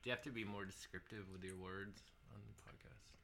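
A man's voice: fairly low pitch, steady tone, a little fast, gravelly, noisy background. A laid-back man chatting with friends over a beer. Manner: laid-back, drinking while talking. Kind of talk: casual chat among friends.

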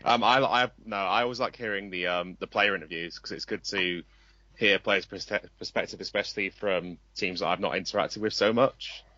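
A low-voiced man speaks as a podcast guest, thoughtful and measured. The recording is dry with no room sound and a little dull. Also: sharp tone, no echo. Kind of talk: casual podcast chat. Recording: dry, slightly dull audio.

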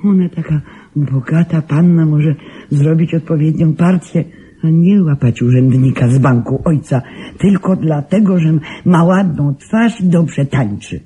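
An actress playing a very old, confused and stubborn woman in a radio drama, rambling insistently in a frail, husky voice. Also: low voice, a little slow, mellow, exaggerated voice. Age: older.

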